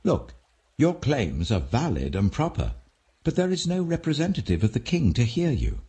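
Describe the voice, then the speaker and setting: Ambitious scheming prince played by a male reader, British, theatrical and persuasive, mellow tone, wildly varied intonation, audiobook. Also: medium pitch, huge pitch swings, moderate pace, husky, pitched above the performer's own voice, full character voice. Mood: sly, sympathetic. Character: smooth-talking ambitious young prince, scheming.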